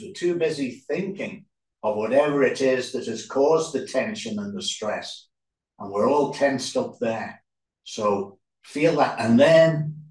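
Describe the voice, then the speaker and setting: A man leading a yoga relaxation as instructor, speaking calmly in short, unhurried phrases with pauses. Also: mid-range voice. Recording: roomy echo, distant microphone.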